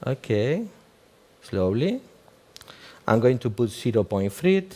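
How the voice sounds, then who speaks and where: A man with a Cuban Spanish accent walks listeners through a live treatment in halting, non-native English. He keeps checking in with "okay?" and drops in light jokes as he works. His voice sits at an ordinary middle pitch. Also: sing-song, darkish tone. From Cuba. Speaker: aesthetic doctor giving a live demo. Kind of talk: live procedure demonstration.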